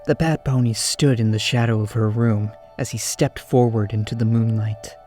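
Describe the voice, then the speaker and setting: A man reads scripted story narration. His voice is soft-edged and warm in tone, sitting at an average male pitch. Music plays faintly behind him. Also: fairly even intonation, moderate pace.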